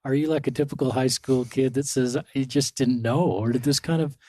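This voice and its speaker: Male podcast host, mid pitch, encouraging interviewer, dry close sound. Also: fairly even intonation, brisk, warm tone, dry recording. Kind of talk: interview questions.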